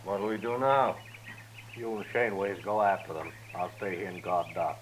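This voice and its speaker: An actor playing a menacing, impatient male outlaw leader in a 1950s radio drama, gruff and threatening. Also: deep voice, steady tone, moderate pace, natural voice. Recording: old radio broadcast with faint hiss.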